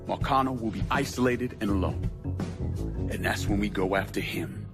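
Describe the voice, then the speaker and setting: Actor as low-voiced, terse crime boss. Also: male voice, normal speed, music in the background, lightly acted. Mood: commanding. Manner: terse, blunt, commanding. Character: tough, determined crime boss plotting revenge. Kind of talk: video game cutscene acting.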